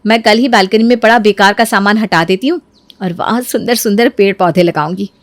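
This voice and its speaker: A woman's voice: mid-range voice, brisk, no room sound, lightly acted. A woman voicing a sweet, cheerful and dutiful young bride in acted story dialogue. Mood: eager, cheerful.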